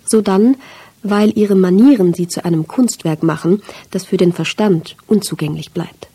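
Husky, creaky, mellow female literary narrator. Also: medium pitch, normal speed. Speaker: audiobook narrator. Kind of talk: scripted literary first-person narration.

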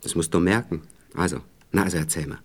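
An actor playing a condescending, flirtatious married Viennese gentleman in a radio play, speaking hesitantly and coaxingly with little questioning tags. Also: deep voice, quick pace, slight background noise, natural voice. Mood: insistent, coaxing. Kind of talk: intimate radio play dialogue.

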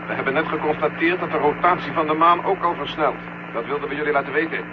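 An actor playing a blunt, authoritative male general with a husky voice in a science-fiction radio play. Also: fairly high pitch, fast, very noisy, natural voice. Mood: official. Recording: muffled vintage radio recording.

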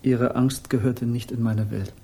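An actor playing an aloof, dreamy hippie guru in a radio crime drama, speaking in cryptic, solemn pronouncements. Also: male voice, mid-range voice, fairly even intonation, dark tone, close to an everyday voice. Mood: detached, stubborn. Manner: slow, cryptic, repetitive pronouncements. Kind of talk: 1960s German radio crime drama acting.

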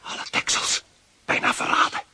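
A man's voice: moderate pace, natural voice. An actor playing a scheming male burglar who speaks in hissed, conspiratorial whispers.

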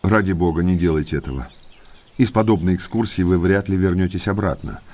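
An actor playing a well-mannered male naturalist in an audio drama, an eager guide to eerie moors who speaks politely and deliberately. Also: deep voice, a little mellow, slight background noise, close to an everyday voice. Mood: grave warning. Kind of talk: Russian audiobook drama acting.

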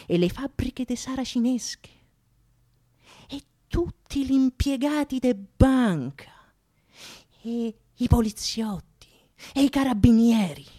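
A woman's voice: fairly high pitch, expressive, slow, pauses often. Woman acting a declamatory, impassioned Roman thief. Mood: indignant, rousing. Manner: declamatory, rhetorical, swinging from hushed to shouted. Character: impassioned Roman thief eulogizing a dead comrade. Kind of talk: theatrical monologue, mock funeral oration.

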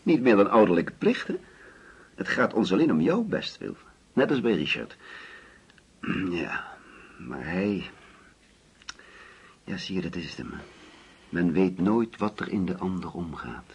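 An actor playing a genteel, anxious older husband in a radio play, talkative and patronising. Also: male voice, low-pitched, very animated, unhurried, long pauses, breathy edge, full character voice. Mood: hesitant, confiding. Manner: fussy, insistent, patronising. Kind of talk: Dutch radio play acting.

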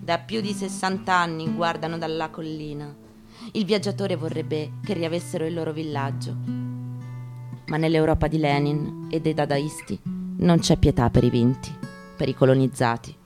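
A woman gives a dramatic, measured literary reading, breaking emphatic short phrases with deliberate pauses. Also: sits low, steady tone. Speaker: stage actress reading aloud. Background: acoustic guitar.

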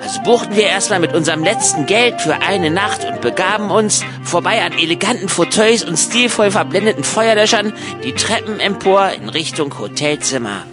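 An eager young emigrant played by a man in a radio play, with a rather high voice over clearly audible music. He is chatty and practical, nudging things along with mild exasperation. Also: animated, normal speed, hardly any pauses. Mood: narrating. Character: eager, practical young German emigrant narrating his travels. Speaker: narrator and actor in radio play. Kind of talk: radio play narration and dialogue.

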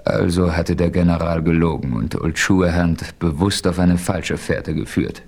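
A man playing a steady, heroic frontiersman who also narrates, in a measured, composed radio-play delivery. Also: deep voice. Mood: calm, resolute. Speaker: first-person narrator and hero. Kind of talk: 1970s German radio play acting.

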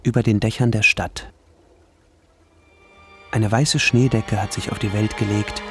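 Brooding murderer, radio play, screenplay-style narration, gravelly, solemn, music behind. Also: male voice, on the low side, steady tone, a little slow, a bit bright. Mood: solemn, ominous. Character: brooding murderer narrating his own fate as a film scene.

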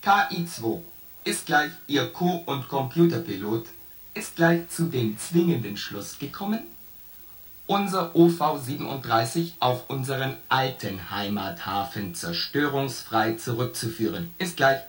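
An actor playing a formal onboard computer co-pilot in a science fiction radio play, speaking in stilted machine phrases. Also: male voice, medium pitch, expressive, a little slow, fairly bright tone, echoey room, distant mic, soft background music. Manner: stilted machine speech with odd stock phrases.

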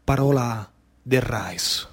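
A man with a Sicilian accent performing a theatrical storytelling monologue in a rhythmic, incantatory manner, with repeated phrases and dramatic pauses. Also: medium pitch. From Sicily, Trapani area. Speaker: actor and storyteller.

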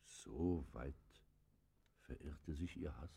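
An actor playing a stern yet pitying judge, formal and admonishing, in a classic German radio play. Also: male voice, rumbling low, slow pace, creaky, dark tone, husky, full character voice. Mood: grave. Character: stern, pitying male judge questioning a criminal.

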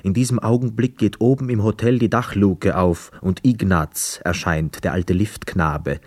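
An actor in a radio play voicing a weary, melancholy young war returnee who narrates in a sombre, measured way. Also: male voice, on the low side, steady tone, a little mellow, no room sound. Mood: tense, grave. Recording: dry studio with faint music.

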